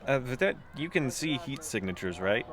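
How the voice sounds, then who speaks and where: Questioning, placating sci-fi crewmate played by an actor, lively. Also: medium pitch, normal speed, crowd noise. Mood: uneasy. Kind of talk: audio drama acting.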